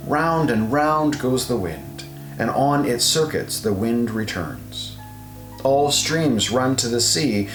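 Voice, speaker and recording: A man narrating an audio Bible in a measured, solemn delivery with deliberate pauses. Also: medium pitch. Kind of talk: scripted scripture reading, audio Bible. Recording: roomy reverberant voice over music. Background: guitar music.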